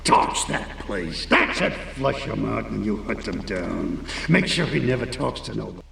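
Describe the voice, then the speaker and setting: An actor playing a cynical, corrupt middle-aged cop who talks in a gruff, sneering street manner. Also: male voice, fairly low pitch, lively intonation, normal speed, pushed and bright, roomy sound, big-room sound, far from the mic, slight background noise, full character voice.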